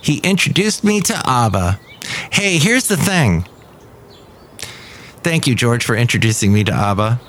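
A man's voice: mid-range voice, big pitch swings, a little slow, pauses often, fairly bright tone, a little hiss or hum. A middle-aged man hosting a podcast in a café, talking in a rambling, chatty way that keeps interrupting itself and drifting off topic. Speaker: podcast host. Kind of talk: rambling casual monologue.

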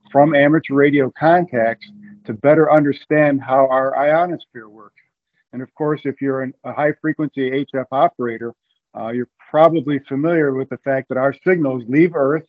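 A man giving explanatory interview answers in a halting way, repeating words. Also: mid-range voice. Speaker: podcast guest, amateur radio community coordinator. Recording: narrow, tinny phone-like line.

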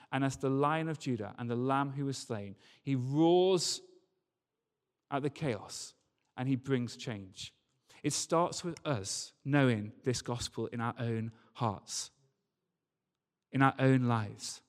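A man preaching a sermon to a congregation in an emphatic manner, using rhetorical questions and repetition. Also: mid-range voice. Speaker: preacher, church leader. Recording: reverberant hall sound.

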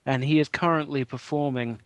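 A man chats casually on a podcast, full of fillers and wry asides. His voice sits at a middle pitch, recorded close and dry with no room sound. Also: fairly even intonation, a little fast, no room sound. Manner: chatty, wry storytelling with many fillers. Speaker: podcast co-host. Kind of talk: casual chat.

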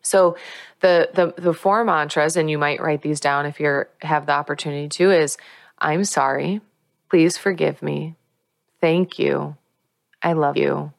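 Woman spiritual teacher with a low voice in a dry room. Earnest and coaching, leans on key words. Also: fairly even intonation, a little slow, creaky, no room sound.

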